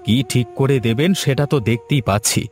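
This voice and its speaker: A man's voice: medium pitch, brisk, a little mellow, lightly acted. Guy doing a devoted hot-headed young village lad, emphatic cartoon voice, music behind. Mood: concerned, indignant. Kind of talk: amateur cartoon dubbing.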